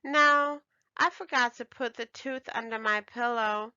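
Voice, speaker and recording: Woman voicing an excitable little girl, high-pitched. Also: measured pace, smooth, no echo, highs cut off. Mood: upset. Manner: exclaiming, emphatic, childlike. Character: excitable little girl, eager, easily upset storybook daughter.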